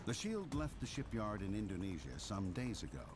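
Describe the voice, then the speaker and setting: A man reading scripted documentary narration in a measured, dramatic way. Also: fairly low pitch, sing-song, noisy background. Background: background music.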